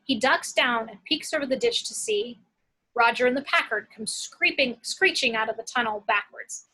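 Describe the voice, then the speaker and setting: A woman's voice: on the high side, expressive, normal speed, sharp tone, husky, poor audio. A woman narrating action descriptions from a script at an amateur table read.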